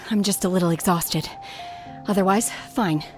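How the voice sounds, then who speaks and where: A woman voicing a reserved, earnest young woman warrior in a video game, speaking in a quiet, understated way. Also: medium pitch, unhurried, soft background music, natural voice. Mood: calm, reserved.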